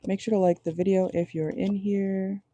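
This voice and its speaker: A woman with a low voice giving a casual tarot reading from home, chatty and rambling, often checking in with her viewers. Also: little pitch movement, normal speed, mellow, highs cut off. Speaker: tarot reader. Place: at home indoors at night.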